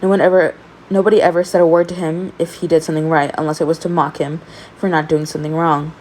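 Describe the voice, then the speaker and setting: A woman reading fan fiction aloud in an expressive amateur storytelling style. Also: low voice, fast, a little hiss or hum, poor audio.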